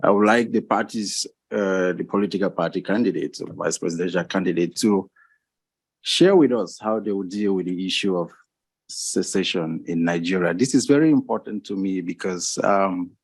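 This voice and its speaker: A man from Cameroon asking two earnest, measured questions on a panel. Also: low voice, dry recording.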